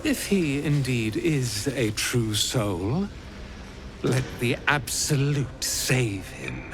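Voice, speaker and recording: A man's voice: mid-range voice, big pitch swings, background noise, full character voice. A man playing an arrogant, cruel slaver lord in a video game, haughty and theatrical. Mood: suspicious, imperious. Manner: haughty, slow, theatrical, speaks of himself in third person. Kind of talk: video game villain acting. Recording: music behind the voice.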